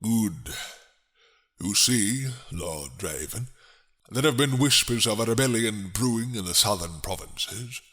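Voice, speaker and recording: A man voicing a grave, suspicious king in a dramatic roleplay, stately, formal and deliberate. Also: lowish voice, lively intonation, fairly bright tone. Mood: grave, commanding.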